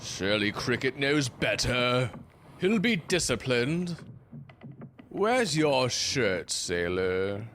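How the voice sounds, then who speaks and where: A pompous first mate from the age of sail , acted by a man, speaking slowly in florid, old-fashioned phrases. He is fussy and stern in a theatrical radio-play way, with steady noise behind him. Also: mid-range voice. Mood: stern, indignant. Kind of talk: theatrical audio drama acting.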